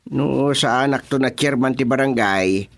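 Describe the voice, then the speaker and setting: A man's voice: mid-range voice, measured pace, gravelly. A man acting an easygoing, chatty village father with an Ilocano accent in a radio comedy drama.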